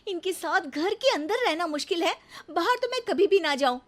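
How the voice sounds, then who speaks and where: A haughty young daughter-in-law from a cartoon moral story, played by a woman. Her voice is very high and sharp, swooping as she complains. She sounds spoiled and snobbish. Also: lively intonation, normal speed. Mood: indignant.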